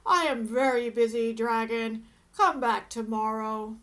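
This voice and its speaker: A woman puts on a big male storybook dragon, grumpy and full of himself. He talks loudly and drags his words out with great self-importance, pitched lower than the narration she reads in. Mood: pompous, dismissive. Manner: drawn-out, loud, self-important delivery.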